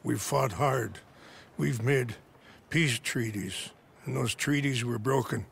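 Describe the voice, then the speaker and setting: Grave old chief, very gravelly. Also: male voice, mid-range voice, a little hiss or hum. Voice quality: gravelly, measured.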